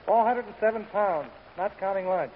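A man's voice: high-pitched, moderate pace, long reverb tail. An American man, an army sergeant guesting on a 1940s radio comedy, reading short lines of banter. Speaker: soldier guest, staff sergeant. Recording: noisy, hollow phone-like 1940s radio broadcast.

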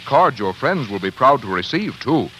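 Man, 1940s radio announcer, persuasive, emphatic, animated intonation, medium pitch, slightly noisy vintage broadcast. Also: normal speed. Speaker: announcer reading sponsor message.

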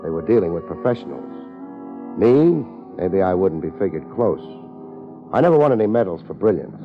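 Low-pitched American gumshoe, deadpan, self-deprecating, clipped, old radio drama, muffled recording under loud music. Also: male voice, normal speed. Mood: wry, deadpan. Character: laid-back, self-deprecating, tough male private investigator. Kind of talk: 1950s radio detective drama acting and narration.

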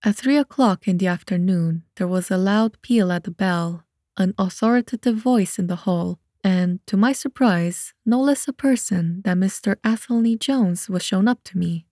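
A woman's voice: mid-range voice, moderate pace, dark tone, no echo. Dry, close-recorded woman narrator. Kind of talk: scripted audiobook narration.

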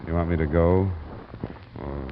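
A man's voice: low-pitched, fairly even intonation, a little slow, background noise. An American man playing a tough, world-weary insurance investigator in an old radio detective drama, speaking in a clipped, dry way. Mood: firm, probing. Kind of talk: 1940s-50s American radio acting, hardboiled narration and dialogue. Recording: muffled vintage radio recording, music behind.

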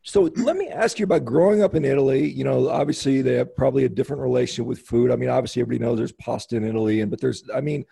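A man's voice: medium pitch, vocal fry, dark tone, husky. A man hosting an interview, asking rambling questions with many restarts. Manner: halting, restarts questions often. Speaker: host interviewer.

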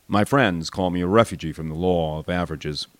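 An American man narrating a first-person memoir as an expressive audiobook reader. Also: low-pitched, brisk, no echo. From United States.

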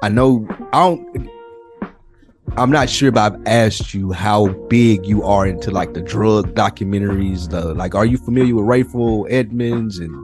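Male podcast co-host, American, lower-pitched voice, loose profane chat, music underneath. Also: moderate pace. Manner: slangy, profane, informal. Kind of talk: casual chat.

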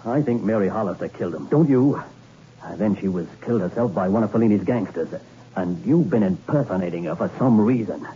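An actor playing a dogged, jittery investigator in a 1960s radio thriller, with terse, probing, halting speech. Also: male voice, on the low side, lively intonation, mellow, close to an everyday voice. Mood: probing, stern. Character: dogged, jittery hard-boiled male investigator named Logan. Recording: dull, hissy old radio recording.

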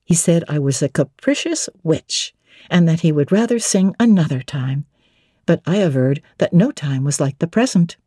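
A woman narrates classic literature as a dramatic audiobook reading. Her voice is very mellow and husky, recorded dry and close. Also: low-pitched, expressive, normal speed, no room sound.

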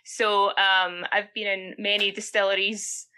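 A woman's voice: mid-range voice, little pitch movement, measured pace, bright, forceful tone, smooth. A woman with a Scottish accent, from Scotland, chatting casually as a podcast guest and telling a story, often tagging "right?" onto her sentences. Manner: chatty, tags questions with "right?". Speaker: podcast co-host.

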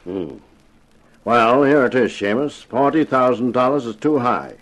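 An actor playing a cool gangster strong-arm man with a measured, dryly threatening manner in a 1950s radio crime drama. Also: medium pitch, natural voice. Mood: cool menace.

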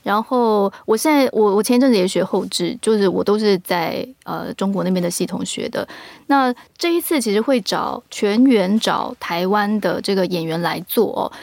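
A woman's voice: mid-range voice, normal speed, vocal fry, a little mellow, no room sound. A woman with a Taiwanese Mandarin accent speaking as an interview guest, explaining things in an earnest way. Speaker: guest, audio drama producer. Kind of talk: interview talk.

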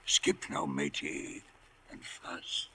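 Radio drama: dying old pirate captain, gravelly, gasping, stubborn, desperate. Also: male voice, a bass voice, fairly even intonation, exaggerated voice. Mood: weak, gasping. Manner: gasping, halting speech. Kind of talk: 1950s radio drama acting.

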